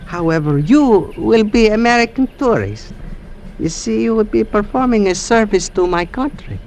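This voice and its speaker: A cautious, courtly elderly Peruvian gentleman in a vintage radio play. His voice sits high for a man, with a Spanish accent and careful, polite phrasing. The old recording has some background noise. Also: animated. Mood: guarded, courteous. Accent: Spanish-accented English, Peruvian. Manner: formal, deliberate, polite. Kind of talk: old-time radio drama acting.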